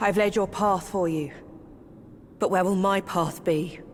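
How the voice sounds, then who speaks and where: A woman plays a young spider demon, quiet and wistful, in a lowish voice. She speaks slowly over a faint ambient hum. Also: steady tone, lightly acted. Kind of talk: video game cutscene voice acting.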